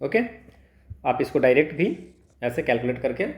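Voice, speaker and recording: A man's voice: mid-range voice, moderate pace, a little mellow. An Indian man tutoring physics, working through exam problems step by step, mixing Hindi and English and asking himself questions he then answers. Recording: echoey room.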